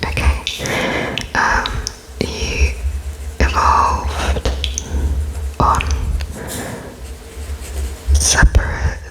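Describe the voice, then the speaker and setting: A person whispering as an interviewer in an ASMR roleplay, attentive and softly inquisitive, with halting phrases as if writing notes while speaking. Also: rough recording. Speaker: interviewer noting down answers.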